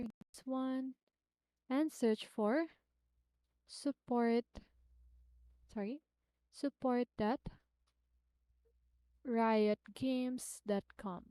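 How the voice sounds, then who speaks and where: A woman's voice: on the high side, warm tone, clean tone, no room sound. A woman narrating a casual how-to tutorial, explaining things simply step by step and repeating phrases as she goes. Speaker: tutorial host.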